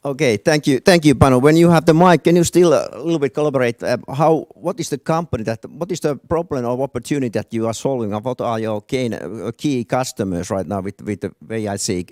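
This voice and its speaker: A man's voice: mid-range voice, brisk, hardly any pauses, a little mellow, dry recording, clean studio sound. Man moderating a panel. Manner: hesitant, restarts sentences. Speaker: moderator, business advisor. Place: event stage. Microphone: handheld.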